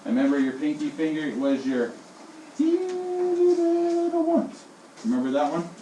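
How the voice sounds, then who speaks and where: A male elementary school teacher leading his class in call-and-response, swinging his voice high and low as he drills them. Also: wildly swooping pitch, normal speed, dark tone. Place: classroom, indoors. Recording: echoey classroom from far off, with noise.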